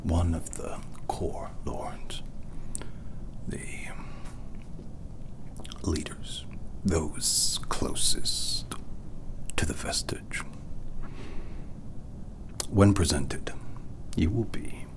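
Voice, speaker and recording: A man acts out a vampire doctor in a hushed ASMR roleplay. The voice is low and mostly whispered, unfolding extremely slowly. It is solemn and ceremonial, with a possessive, coldly tender reverence. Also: heavily acted. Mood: reverent, grandiose. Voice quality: breathy whisper.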